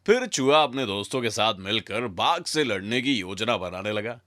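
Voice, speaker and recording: A man tells a scripted children's story with dramatic flair. His voice is bright and forceful with wide swings in pitch, recorded dry and close. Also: sing-song, moderate pace, no echo.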